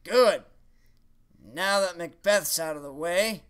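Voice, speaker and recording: A man's voice: sits high, slow pace, fairly bright tone, smooth. A man voicing a jaded creative writing professor in a puppet comedy, blunt, scornful and full of exasperated sarcasm. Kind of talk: puppet show comedy acting.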